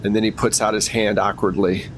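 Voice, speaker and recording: A man's voice: little pitch movement, fairly quick. A man narrating a tabletop game as game master in a casual, conversational way. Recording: rumbly background noise.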